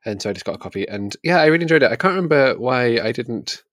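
A man's voice: medium pitch, animated, quick pace, a bit bright, no room sound, clean studio sound. A man co-hosting a podcast, chatting casually about books in a hesitant, self-correcting way. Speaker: podcast co-host. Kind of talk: casual book chat.

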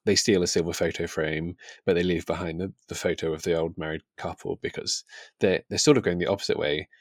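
A man's voice: sits low, steady tone, fairly quick, no echo, studio quality. A man co-hosting a podcast in a casual book discussion, speaking in a tentative, thoughtful way.

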